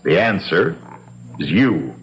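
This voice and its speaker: An actor playing a breezy, needling company boss in a 1970s radio drama, with a dry, brisk, teasing manner. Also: male voice, deep voice, very animated, full character voice. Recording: dull, faintly noisy 1970s radio broadcast.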